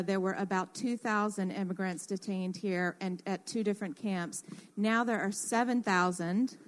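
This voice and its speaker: A woman with a medium-pitched voice speaks as a panelist in an informal radio discussion. She is earnest and explanatory, pausing and picking her way through her points. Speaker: radio panelist who works in construction.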